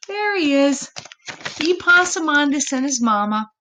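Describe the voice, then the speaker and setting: Slow, expressive woman reading a children's story. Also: sits high, a little hiss or hum. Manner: expressive, chatty with listeners. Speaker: storybook reader. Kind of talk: read-aloud storytelling for children, with asides to listeners.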